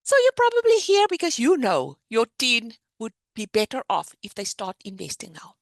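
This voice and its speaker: A middle-aged woman with a South African accent, teaching the basics of investing in an animated, explanatory way on a webinar. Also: a little high, moderate pace, no echo, clean studio sound. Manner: animated, explanatory teaching with a lively sing-song rise and fall. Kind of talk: webinar presentation.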